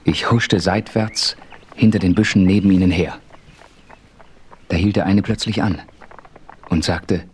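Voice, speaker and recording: A man playing a calm, self-assured western hero who narrates his own adventure in a radio play. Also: deep voice, a little slow, long pauses, slight background noise. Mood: suspenseful.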